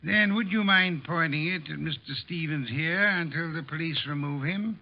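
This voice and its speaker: A lordly, middle-aged detective in a vintage radio play. His fairly high voice is creaky, his phrasing formal and precise, and the old broadcast sounds muffled. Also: normal speed, over music. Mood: lordly, explaining. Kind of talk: 1940s-50s radio drama acting.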